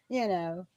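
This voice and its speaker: A woman's voice: high-pitched, no echo, low-quality recording. A woman, chatting candidly on a group live stream and laughing often. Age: 60s. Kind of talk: casual group video chat.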